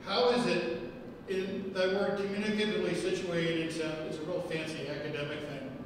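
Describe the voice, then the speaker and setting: A man's voice: high voice, level tone, moderate pace, a little hiss or hum. A middle-aged man giving a wry, conversational public lecture at a podium. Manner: wry, self-deprecating, conversational. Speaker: guest lecturer. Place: large hall with arched windows. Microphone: podium microphone. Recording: echoey hall, far from the mic.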